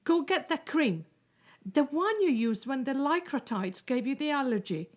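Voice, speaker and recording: A brisk, bossy female carer in a radio drama. Her high voice moves up and down sharply as she hands out clipped orders. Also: lively intonation. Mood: insistent. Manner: clipped instructions.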